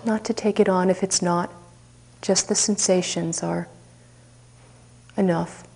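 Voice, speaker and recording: A woman teacher leading a guided meditation, speaking softly and unhurriedly with long pauses. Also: lowish voice, fairly even intonation, gravelly, slightly rough.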